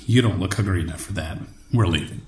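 Low-pitched, resolute rescuer played by a man. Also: fast, a little mellow, close to an everyday voice. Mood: grave, resolute. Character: earnest, resolute male rescuer and diplomat, dry-humored. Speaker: voice actor. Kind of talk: audio drama acting. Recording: echoey space with faint noise.

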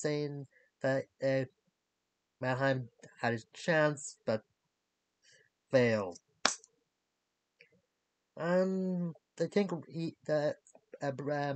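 Young male vlogger for a casual recap: unhurried, halting, disjointed phrasing, dry sound. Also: a little high, a little mellow, no room sound. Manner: halting, disjointed delivery, searching for words. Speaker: reviewer of animated series. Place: small cluttered bedroom, indoors.

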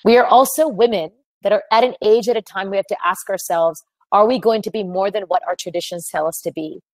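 Brief: American woman, thirties, webinar speaker, earnest storyteller, dry close sound, mid pitch. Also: brisk, a little mellow, no echo. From United States. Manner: earnest, reflective storytelling with frequent fillers. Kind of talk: presenting a webinar talk.

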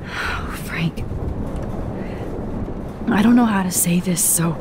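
An actress in a video game playing a sympathetic young American student, speaking in a gentle, hesitant, somber way. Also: female voice, takes it slowly, background noise. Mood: grave. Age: young adult. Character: somber, sympathetic young female student bearing bad news. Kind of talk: dramatic video game acting. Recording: loud rumbling storm ambience behind.

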